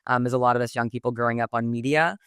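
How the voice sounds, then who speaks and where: A young woman from Texas with a fairly low, smooth, resonant voice, recorded close and dry. She talks things through reflectively and articulately, leaning on "um" and "like" as she thinks. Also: fairly even intonation, very fast, fairly bright tone, no echo. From Texas, United States. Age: 20s. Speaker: guest. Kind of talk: podcast interview.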